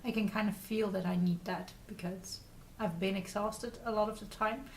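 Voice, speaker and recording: A woman from the Netherlands with a Dutch accent, hosting a casual craft vlog in a halting, rambling way while getting over a cold. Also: medium pitch, steady tone. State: recovering from a cold. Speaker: podcast host. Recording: distant mic with faint noise.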